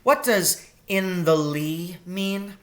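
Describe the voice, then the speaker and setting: A man voicing a gentle female mouse in a children's storybook, polite and worried, speaking softly and tentatively. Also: high-pitched, extremely slow, voice pushed up, heavily acted. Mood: uncertain. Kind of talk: children's storybook read aloud.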